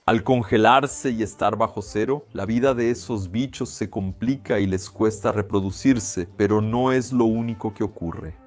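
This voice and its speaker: A man hosting a casual science explainer, chatty and lively with quick exclamations. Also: lowish voice, faint music behind. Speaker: science video host.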